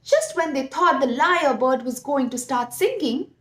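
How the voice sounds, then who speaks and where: A woman telling a story to young children, expressive and speaking directly to her listeners. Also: high-pitched, normal speed. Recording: roomy echo.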